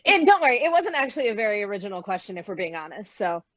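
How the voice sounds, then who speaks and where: A woman acts as moderator of a video panel conversation. Her voice sits at a middle pitch, in a dry, slightly dull recording, as she hosts and hands questions to guests. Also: very animated, very fast, fairly bright tone, dry recording. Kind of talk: hosting a video panel interview. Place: online streaming video conversation.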